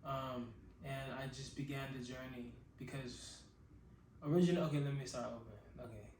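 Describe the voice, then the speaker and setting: A man's voice: medium pitch, fairly even intonation, moderate pace, darkish tone. A young man from Nigeria talking unscripted about himself, reflective and rambling, often stopping to restart his sentences. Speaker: vlogger. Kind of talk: unscripted personal monologue. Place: his own secluded room. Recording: roomy echo, distant mic, background noise.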